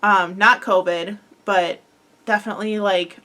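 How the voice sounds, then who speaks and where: A woman's voice: mid-range voice, fairly even intonation, a little slow, sharp tone. Young woman rambling about crochet stuff, kinda stuffy from a cold, lots of ums and likes. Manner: chatty, rambling, filler-heavy with frequent likes and ums. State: congested from a head cold. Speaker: crochet podcast host. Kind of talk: casual chat.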